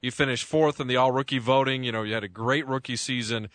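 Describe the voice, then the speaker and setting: A man's voice: medium pitch, steady tone, fast talker, fairly bright tone, no echo. A man hosting a sports radio interview in a studio, chatty and joking with lots of fillers.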